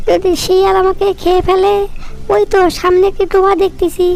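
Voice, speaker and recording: A man's voice: extremely high pitch, monotone, fairly quick, gravelly, voice pushed up. A man voicing a small lost duck in a cartoon story, speaking in a fretful, worried way. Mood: frightened.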